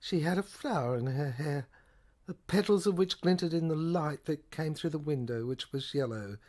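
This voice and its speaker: A woman narrating an audio play in a halting, theatrical way, repeating words for effect. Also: sits low, darkish tone. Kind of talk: dramatic literary narration, reading all parts.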